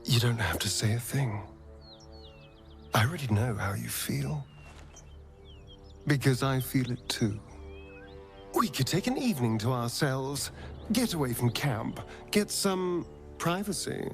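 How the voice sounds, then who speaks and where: An actor playing a flirtatious, self-indulgent vampire rogue in a video game, with an arch, theatrical drawl. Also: male voice, medium pitch, animated, unhurried, creaky, pauses often, faint music behind. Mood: seductive. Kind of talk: video game character acting.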